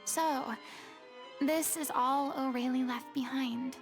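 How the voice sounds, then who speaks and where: A woman's voice: high voice, fairly even intonation, slow pace. A woman voicing a poised, commanding young noblewoman in a video game, speaking in an articulate, theatrical way. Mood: pensive. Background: game music.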